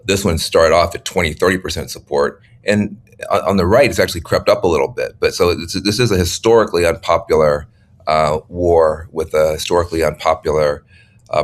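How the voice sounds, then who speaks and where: A middle-aged man hosting an interview, musing aloud with many fillers and getting animated when he laughs at a point. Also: a bass voice, a little fast. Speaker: host. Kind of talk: interview conversation.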